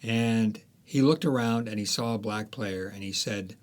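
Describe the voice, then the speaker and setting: A man's voice: lowish voice, a little slow. A man, an author guest in a podcast interview, talking casually and hesitantly with many restarts.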